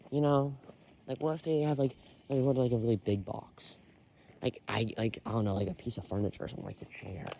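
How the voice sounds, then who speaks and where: A man's voice: on the low side, darkish tone, a little hiss or hum. Rambling young man, sighing on a walk. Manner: rambling, filler-heavy, sighing often. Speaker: vlogger. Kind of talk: rambling walking vlog. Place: outdoors on a quiet road. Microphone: phone in pocket.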